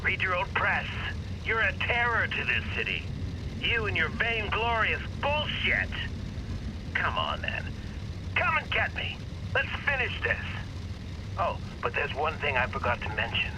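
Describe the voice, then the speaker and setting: A sardonic, gloating male villain from a video game. His high voice swings widely as he taunts with theatrical relish, over loud traffic-like noise. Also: wildly swooping pitch, unhurried, pauses often, heavily acted. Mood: taunting. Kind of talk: dramatic video game acting.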